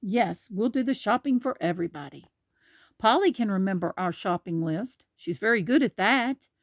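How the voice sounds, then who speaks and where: A woman's voice: mid-range voice, expressive, moderate pace, no echo, clean studio sound. A woman voicing an eager, confident little girl pig in a children's storybook, speaking simply and earnestly.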